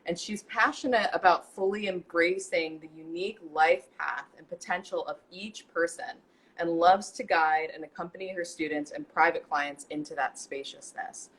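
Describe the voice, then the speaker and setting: A woman's voice: on the low side, normal speed, a bit bright, a little hiss or hum, rough recording. A woman hosting a live class, earnestly introducing her guest and handing over the floor. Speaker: host.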